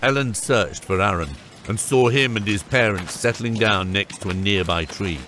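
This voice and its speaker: A man's voice: fairly low pitch, normal speed, noisy background. A man narrating a scripted fantasy audiobook.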